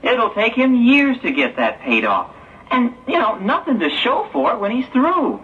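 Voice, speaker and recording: A man's voice: very high voice, sing-song, normal speed. A man playing a worried, inquisitive young man in a 1960s religious audio drama. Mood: surprised, concerned. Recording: distant, dull, hissy 1960s tape.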